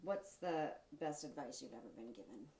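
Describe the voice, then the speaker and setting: Woman interviewer, low-pitched, brief and direct questions. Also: animated, normal speed, mellow.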